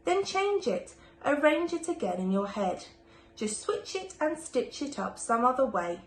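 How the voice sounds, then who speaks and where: A woman's voice: high-pitched, very animated, measured pace, reverberant, slight background noise, voice pushed up, full character voice, low-quality recording. A woman voicing a plucky, inquisitive, bookish little girl from a children's storybook. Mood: encouraging.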